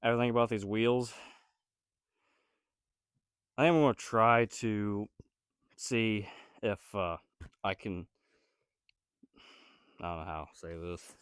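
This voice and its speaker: A man's voice: lowish voice, fairly even intonation, slow pace, long pauses, clear, resonant tone, no echo, studio quality. A man with a cold-stuffed nasal voice, thinking aloud in a hesitant, rambling way as he works on a building project and talks to his viewers. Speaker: DIY builder vlogger. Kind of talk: casual self-talk while building.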